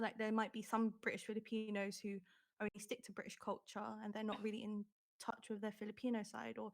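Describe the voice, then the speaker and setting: Podcast co-host, woman, middle-pitched, earnest, unhurried. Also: vocal fry. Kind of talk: casual panel chat.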